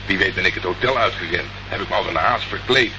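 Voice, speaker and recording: A low-pitched, sardonic private investigator acted by a man in a radio play. He answers in a deadpan, unruffled way. The old recording is dull, with clear hiss and noise behind him. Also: quick pace, natural voice. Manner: sarcastic, deadpan. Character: dry, sardonic male private investigator, unruffled under questioning. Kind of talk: Dutch radio play acting.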